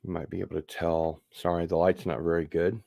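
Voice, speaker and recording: A man's voice: low voice, level tone, fairly quick, creaky, dark tone, no room sound. A man hosting a casual unboxing livestream, rambling along with wry asides. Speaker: host of an online show.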